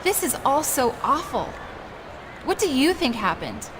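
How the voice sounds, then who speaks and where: Game role: upset, sympathetic young woman, high-pitched, fretful, noisy surroundings. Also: very animated, a little slow. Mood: distressed, worried. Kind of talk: video game cutscene acting.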